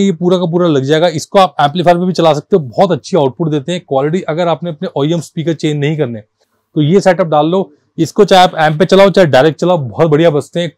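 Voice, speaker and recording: A man gives a casual, persuasive sales pitch as a shop seller, often repeating himself and mixing languages informally. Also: darkish tone, dry recording. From India. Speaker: car accessories shop seller and presenter.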